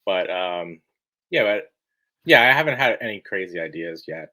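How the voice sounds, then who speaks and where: Deep-voiced man, halting and rambling. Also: lively intonation, pushed and bright. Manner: halting, rambling, restarts sentences often. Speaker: book editor being interviewed. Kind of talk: casual interview chat.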